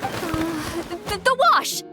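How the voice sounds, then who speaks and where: A woman's voice: very high-pitched, lively intonation, very slow, over music, exaggerated voice. An actress playing a proud, easily embarrassed young woman in a video game, huffy, dramatic and prone to stammering. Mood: flustered.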